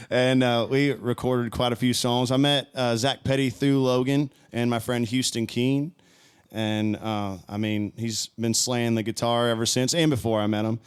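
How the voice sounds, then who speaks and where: A smooth, clear-voiced man in his thirties from Florida talks as an interview guest. He tells stories in an easygoing way, with light laughs, at a middle pitch. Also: steady tone, measured pace. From High Springs, Florida, US. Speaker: country musician guest. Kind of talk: casual interview chat.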